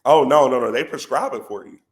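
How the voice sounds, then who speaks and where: A man with a head cold and a congested voice, a company president talking as a podcast guest in an animated, persuasive way. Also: medium pitch, brisk. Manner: animated and persuasive, says "bro" a lot. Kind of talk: casual podcast conversation.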